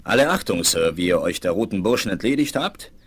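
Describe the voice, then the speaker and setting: Composed Western hero, lively intonation. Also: male voice, low voice, a little fast, a little hiss or hum. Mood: calm, courteous. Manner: polite, composed, quietly confident. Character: young, calm, self-assured Western adventurer hero. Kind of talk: 1970s German audio drama acting.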